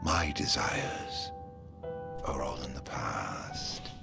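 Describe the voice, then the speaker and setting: A man's voice: very deep voice, lively intonation, drawn-out words, gravelly, heavily acted. An actor playing an embittered immortal male exile in a video game cutscene, speaking with theatrical, weary menace. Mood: weary, guarded. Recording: loud orchestral music behind.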